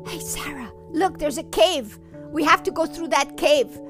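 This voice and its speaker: A woman doing a steady, protective young man. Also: high-pitched, measured pace, music in the background. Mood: reassuring. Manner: steady, reassuring, commanding. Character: calm, protective young man leading children.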